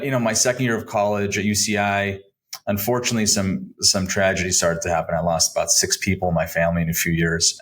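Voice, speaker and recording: A man's voice: low-pitched, fairly bright tone. A man in his thirties from Southern California with an American accent, talking conversationally as an interview guest. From Southern California, United States. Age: 30s. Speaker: podcast guest, advisory firm founder. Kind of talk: conversational career storytelling in an interview.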